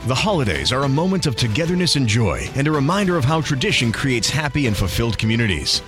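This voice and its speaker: A man announcing a scripted holiday advertisement in an upbeat promotional tone. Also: mid-range voice. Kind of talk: scripted radio ad read. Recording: voice over background music.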